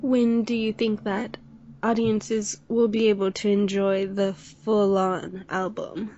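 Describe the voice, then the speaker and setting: A woman hosts a podcast interview and asks short, friendly questions. Her voice sits at a middle pitch. The recording sounds dull and lo-fi. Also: level tone, slow pace, dark tone, slight background noise. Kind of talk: casual interview.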